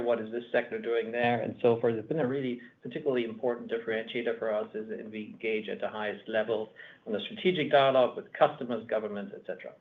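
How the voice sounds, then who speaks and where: A man, a company executive, adding measured points on an earnings call. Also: fairly low pitch. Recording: tinny phone-line audio.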